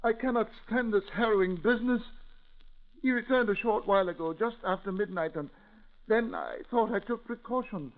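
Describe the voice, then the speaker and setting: An anxious old uncle, pleads and exclaims in a high-pitched voice. The acting is old-time radio melodrama, and the sound is thin and tinny like an aged broadcast. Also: male voice, normal speed. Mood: frightened, despairing. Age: older. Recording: tinny lo-fi vintage radio recording.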